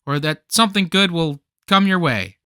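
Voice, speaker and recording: A man's voice: on the high side, expressive, normal speed, bright, forceful tone, clean tone, dry recording, clean studio sound. A man acting in a radio play as a breezy, impulsive, good-natured driver with casual, chatty talk. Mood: easygoing, upbeat.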